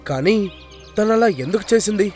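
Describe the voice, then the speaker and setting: A brave young lion in a children's cartoon. The voice is high for a male, questioning and bold. Light music plays underneath. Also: expressive, moderate pace, darkish tone. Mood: puzzled, questioning.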